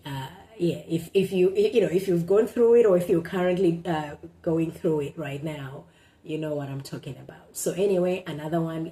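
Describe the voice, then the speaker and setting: A woman with a Zimbabwean accent whose first language is Shona, speaking in a low, mellow voice. She reads her own blog posts aloud in a casual vlog, hesitant and reflective, full of ums and small restarts.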